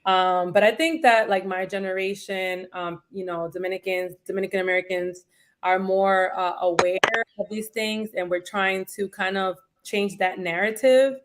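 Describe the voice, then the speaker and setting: A woman's voice: mid-range voice, fairly even intonation, normal speed, a little hiss or hum. A woman from New York speaking as a panel guest, thoughtful and full of fillers like "um" and "like". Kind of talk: casual discussion.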